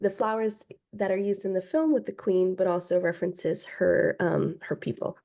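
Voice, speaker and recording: Woman from Hawaii, filmmaker guest; warm, unhurried interview talk, thinking aloud. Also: mid-range voice, creaky. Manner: thoughtful, many ums and restarts. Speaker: film director, guest. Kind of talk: casual interview answers.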